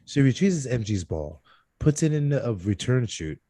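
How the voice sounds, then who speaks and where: A man narrating screenplay stage directions at a live table read, with a husky voice and occasional stumbles that he corrects. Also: mid-range voice, big pitch swings, normal speed, mellow, no echo. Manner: stumbles over words and corrects himself.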